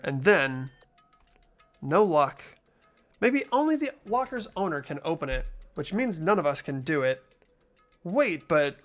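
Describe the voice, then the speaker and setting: Animated dramatic reader voicing an anxious student. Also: medium pitch. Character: earnest, anxious high school student investigating a killing game. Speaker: player reading a video game's lead role aloud. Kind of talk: dramatic reading of visual novel narration and dialogue.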